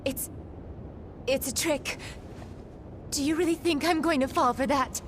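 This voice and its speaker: A woman's voice: sits high, unhurried, noisy background. A woman playing a distressed, distrustful young human survivor in a video game, speaking with raw emotion and accusation. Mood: suspicious, disbelieving. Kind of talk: English video game voice acting.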